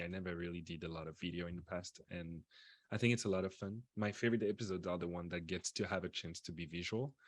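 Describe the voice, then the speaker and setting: A man with a very low voice hosts a casual podcast over a video call. He sounds upbeat and easygoing, and the recording is dry and close. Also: dry recording. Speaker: podcast host.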